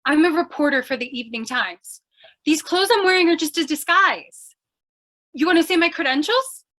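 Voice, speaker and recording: A woman's voice: sits high, lively intonation, normal speed, a bit bright. A woman playing a plucky, scatterbrained young newspaper reporter in an old-time radio comedy mystery, flustered and eager. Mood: sheepish, chatty. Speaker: voice actor.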